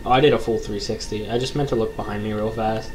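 A young man with a low voice commentating a horror game from his bedroom, joking with mock bravado and reading the game's text aloud. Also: fairly even intonation, quick pace. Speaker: gaming YouTuber. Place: small bedroom, indoors. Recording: noisy home recording.

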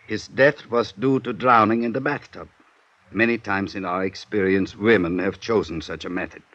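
A man playing a measured, serious European police inspector, formal and deliberate, in a 1950s radio drama. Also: on the low side, steady tone, a bit bright. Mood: grave. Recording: muffled old radio recording.

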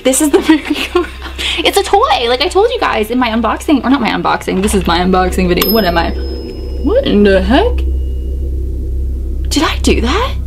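A young woman in her twenties vlogging a chatty unboxing in a small bedroom, excitable and a bit hoarse as her voice fades. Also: on the high side, a little fast, long pauses. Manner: excitable, chatty, gasps and laughs a lot. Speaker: YouTuber. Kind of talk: casual unboxing vlog. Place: small bedroom decorated with dolls.

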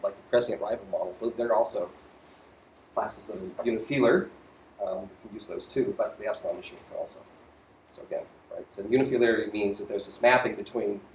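A man's voice: lowish voice, darkish tone. Male lecturer in a roomy echo. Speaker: university physics lecturer. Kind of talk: lecture voiceover on slides, informal explaining. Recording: roomy echo, faint background noise.

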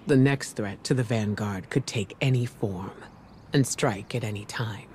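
An actor playing a weary veteran soldier in a game cutscene, speaking in a measured, reflective and earnest way. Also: male voice, on the low side, a little mellow, slight background noise, close to an everyday voice. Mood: reflective, wistful. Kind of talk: scripted game cutscene monologue.

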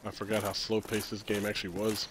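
A man in his thirties hosting a casual gameplay stream, stuffy with a cold and clearing his throat, rambling and correcting himself as he talks. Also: lowish voice, completely flat delivery, fast talker, background noise. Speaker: streamer host.

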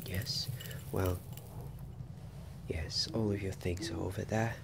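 A man playing a kindly, attentive innkeeper in an ASMR fantasy roleplay, speaking softly and gently, often dropping to a breathy whisper. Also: low-pitched, flat delivery, heavily acted. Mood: gentle, soothing. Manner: gentle, soothing, unhurried. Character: kindly, attentive male innkeeper of a wizarding pub. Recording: background ambience noise under close voice.

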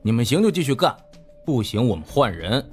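Man playing an arrogant gangster boss, animated delivery. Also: medium pitch, a little fast, breathy edge, soft background music. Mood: curt, impatient. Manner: curt, contemptuous, swearing. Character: arrogant, foul-mouthed, impatient gangster boss.

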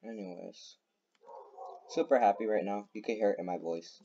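A young man chatting over his own gameplay in a low voice, rambling, full of fillers and quick bursts of excitement. His mic sounds dull and lo-fi, with a faint hiss and keyboard clicks behind him. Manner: excitable, laughs often, rambling with many fillers. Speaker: gaming YouTuber. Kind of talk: casual gameplay commentary.

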